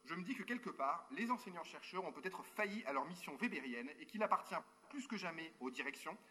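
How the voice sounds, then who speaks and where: A male academic reads a prepared talk at a brisk clip, his voice sitting a bit high and sounding bright and forceful. His phrasing is formal and written, broken by quick spoken asides and small hesitations. He comes through a remote video call link. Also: lively intonation. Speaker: university researcher giving a conference talk.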